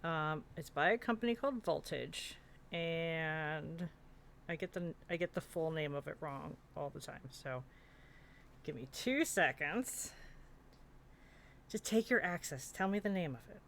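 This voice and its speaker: A woman in casual panel chat, speaking haltingly and correcting herself as she goes. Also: deep voice, expressive, creaky. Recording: faint background noise.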